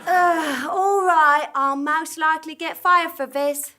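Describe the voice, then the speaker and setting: A woman's voice: sits high, steady tone, slow pace, pushed and bright, full character voice. A woman playing a sympathetic, hesitant middle manager in a magical land, chatty and theatrical, in a live comedy audio drama. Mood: resigned, helpful. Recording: live theatre stage recording.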